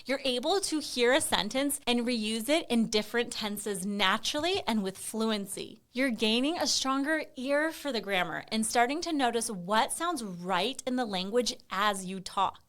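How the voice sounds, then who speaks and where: A woman's voice: fairly high pitch, moderate pace, hardly any pauses, fairly bright tone. A woman giving a scripted promotional explainer for families, speaking in an encouraging, emphatic way. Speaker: company presenter.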